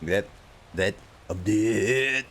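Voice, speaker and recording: A man's voice: fairly low pitch, drawn-out words, fairly bright tone. A young man streaming a video game and chatting casually with his viewers, rambling, mocking himself and stumbling over names. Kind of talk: casual livestream chat with viewers.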